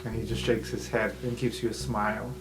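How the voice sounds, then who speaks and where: A man's voice: fairly low pitch, little pitch movement, fairly quick, low-quality recording. A man working as game master, narrating an improvised tabletop game in a casual, conversational way. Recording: background music under voice.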